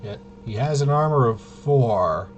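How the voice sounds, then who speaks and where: A man thinking aloud through a tabletop game walkthrough, casually muttering to himself in a halting way and trailing off. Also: medium pitch, animated, muffled recording, a little hiss or hum. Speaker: solo tabletop RPG player demonstrating gameplay.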